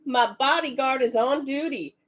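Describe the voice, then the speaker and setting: This is a woman giving a live psychic card reading on a stream. Her voice is raspy, and she speaks in a loose, chatty way, often stopping to answer viewers in the chat. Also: sits high, moderate pace. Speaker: psychic reader.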